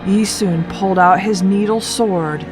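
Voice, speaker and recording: A woman narrating a children's folktale as a read-aloud, with expressive, deliberate delivery. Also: mid-range voice, music in the background, rough recording. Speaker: storyteller.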